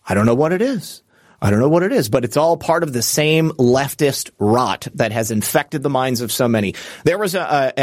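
Male show host, mid-pitched, dry close mic, emphatic off-the-cuff monologue with lots of fillers. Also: no echo. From United States. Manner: rambling and emphatic, with frequent fillers and restarts. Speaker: political commentary show host. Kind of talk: unscripted political commentary monologue.

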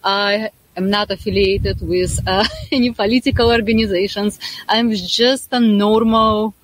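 A woman's voice: mid-range voice, fairly even intonation, unhurried, no room sound, rough recording. Russian-accented woman, dry close sound. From Saint Petersburg, Russia. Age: 30s. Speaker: guest. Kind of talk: casual chat.

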